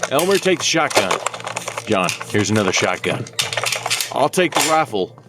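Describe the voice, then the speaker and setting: A man's voice: lowish voice, sing-song, normal speed, very noisy. A man acting a commanding rural family man in an audio horror drama, barking folksy orders. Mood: commanding, gruff. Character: commanding rural family man rallying kin to shoot invaders. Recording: loud music behind the voice.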